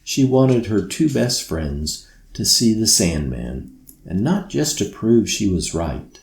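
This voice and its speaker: Low voiced guy reading a bedtime story, slow and expressive, really animated pitch. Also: creaky, roomy sound. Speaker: author reading own book.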